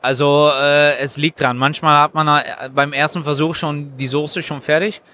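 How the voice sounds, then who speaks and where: A man who makes sauces, answering interview questions at a trade fair stand in a halting, explanatory way. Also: a little high, fairly even intonation, pushed and bright, a little hiss or hum. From Germany. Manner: halting, explanatory, frequent hesitations. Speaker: sauce maker, guest. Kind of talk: casual interview.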